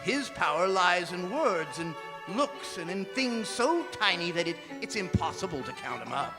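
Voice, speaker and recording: An actor playing a fearful, regretful old miser in a theatrical radio drama, stammering and exclaiming, with music under him in a reverberant hall. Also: male voice, high voice, big pitch swings, moderate pace. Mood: earnest. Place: performing arts center stage.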